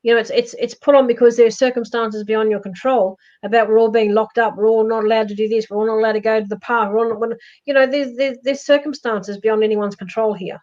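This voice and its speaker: A woman with a loud voice, giving emphatic, reassuring life advice in a casual live-stream chat. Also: on the high side, fairly even intonation, fast, few pauses. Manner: emphatic, reassuring, repeats herself. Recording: dull lo-fi stream audio.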